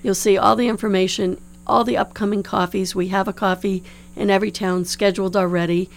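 A woman in her fifties from New Orleans, slightly hoarse, giving earnest, explanatory answers as a political candidate in a studio interview. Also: mid-range voice, steady tone, brisk. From New Orleans, United States. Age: 50s. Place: radio studio. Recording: clear background hum and noise.

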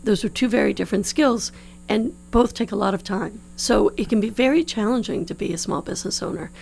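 Woman, 50s, candidate in an interview. Gravelly, slightly hoarse, earnest and explanatory, mid-pitched, with background hum. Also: fairly quick, a little mellow. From New Orleans, United States. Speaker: political candidate being interviewed. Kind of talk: conversational interview answers. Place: radio studio. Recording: clear background hum and noise.

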